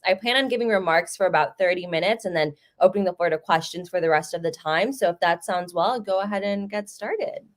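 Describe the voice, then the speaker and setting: A woman's voice: lowish voice, brisk, few pauses. A young American woman from Chicago giving a conversational talk over a video call, telling personal stories. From Chicago, United States. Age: young adult. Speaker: guest speaker. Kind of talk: storytelling talk.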